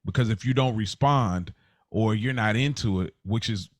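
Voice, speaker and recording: A man's voice: fairly low pitch, normal speed, no echo. A man co-hosting a podcast in casual conversation, explaining his thoughts at length in a reflective way. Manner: reflective, explains at length with "like" and "you know what I mean". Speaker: podcast co-host.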